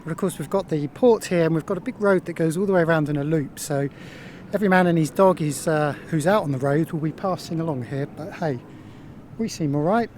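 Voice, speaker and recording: A man's voice: fairly quick, darkish tone. A man hosting a sailing vlog, explaining things casually in a laid-back, wry, matter-of-fact way. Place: outdoors on a sailboat. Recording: noisy outdoor boat recording, engine rumble.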